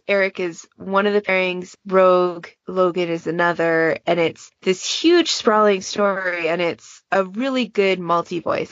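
A woman's voice: fairly low pitch, gravelly, dry recording. A woman on a podcast panel, casually explaining her recommendations, recorded with slightly dull audio.

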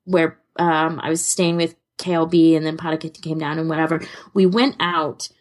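A woman's voice: low-pitched, little pitch movement, moderate pace. A woman co-hosting a podcast in casual fan chat, rambling and self-deprecating in an amused way. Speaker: podcast co-host.

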